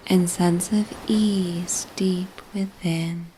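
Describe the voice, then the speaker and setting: A woman narrating a bedtime sleep story in a soft, breathy, half-whispered, soothing ASMR manner. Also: fairly low pitch, steady tone, drawn-out words, slight background noise, poor audio.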